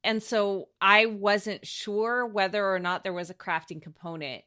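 A woman's voice: mid-range voice, fairly even intonation, measured pace, sharp tone. A woman chatting casually and playfully as a panelist on a game show. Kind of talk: casual chat.

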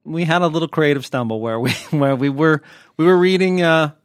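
A man's voice: fairly high pitch, fairly quick, no room sound, clean studio sound. An American man hosting a podcast, answering listener questions in casual, unscripted chat with a wry, joking manner. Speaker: podcast host and TV critic.

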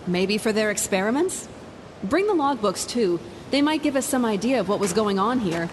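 An actress playing a calm, decisive female captain of an undersea rescue team in an audio drama. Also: mid-range voice, long reverb tail, slight background noise, natural voice. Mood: brisk. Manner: brisk, commanding, lightly wry.

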